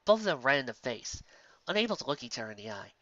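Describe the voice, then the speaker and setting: Storybook narrator: expressive scripted reading, bright tone, close dry room, somewhat dull audio. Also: mid-range voice, quick pace, no room sound. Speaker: narrator, fan fiction reader.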